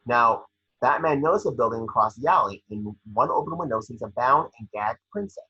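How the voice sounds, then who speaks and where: A man with a husky voice reading scene descriptions at a table read, sometimes stumbling over the script. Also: mid-range voice, expressive, brisk, bright, forceful tone, dull audio.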